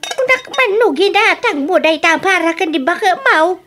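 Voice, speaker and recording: Radio play: shrill, singsong older neighbor lady who teases and exclaims a lot, a woman acting her out. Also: animated, normal speed, a bit bright, a little hiss or hum. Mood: teasing. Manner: exclaiming, teasing. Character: chatty older woman neighbor selling pots.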